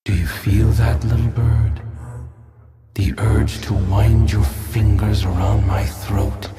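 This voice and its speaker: A sly nightmare prince for a game, low and unhurried over clear music. He needles and insinuates, silky and cruel. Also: little pitch movement. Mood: sly taunting. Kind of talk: video game character acting.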